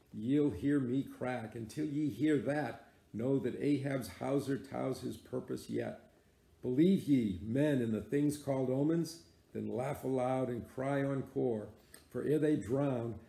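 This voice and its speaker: Guy doing a gravelly old sea captain, grim and obsessed, barking orders in old-time talk, echoey room. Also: mid-range voice, dark tone. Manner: commanding, archaic speech.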